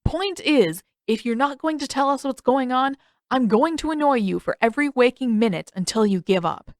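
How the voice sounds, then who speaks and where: A woman's voice: high-pitched, animated, normal speed, no room sound, clean studio sound, pitched above the performer's own voice. A woman voicing a stubborn, sarcastic teenage boy in an audiobook, emphatic and mocking. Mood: insistent.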